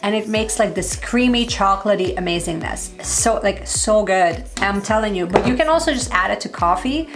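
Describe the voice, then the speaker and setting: A woman in her thirties, slightly hoarse, chatting upbeat about favorite products as a lifestyle vlogger. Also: medium pitch, normal speed, hardly any pauses, faint music behind. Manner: chatty, upbeat, heavy use of "like". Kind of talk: casual product review.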